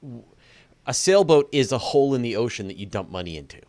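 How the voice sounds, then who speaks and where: A man hosting a conversational interview, talking in an animated way with restarts and repeated phrases. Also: medium pitch, fast talker. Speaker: interview host. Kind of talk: conversational interview about space.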